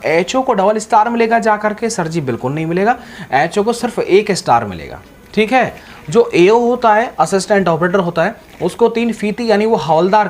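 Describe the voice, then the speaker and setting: A man giving exam guidance in an informal, repetitive, colloquial way, addressing viewers directly. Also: sits high. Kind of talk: informal explainer with sponsor plug. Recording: faint background noise.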